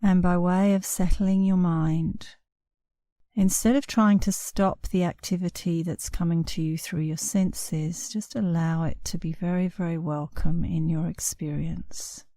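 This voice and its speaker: A woman meditation instructor guiding a relaxation session in a soothing, unhurried way, leaving long pauses between short phrases. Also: on the low side, fairly even intonation, mellow, no room sound, studio quality. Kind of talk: guided meditation, scripted narration.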